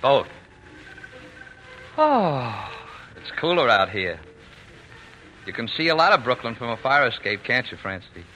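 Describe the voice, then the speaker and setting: A man's voice: medium pitch, big pitch swings, unhurried, long pauses, pushed and bright, faint music behind. A man playing an easygoing, affectionate father in 1940s radio drama, on an old recording. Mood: warm, wistful. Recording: muffled old radio recording, faint hiss.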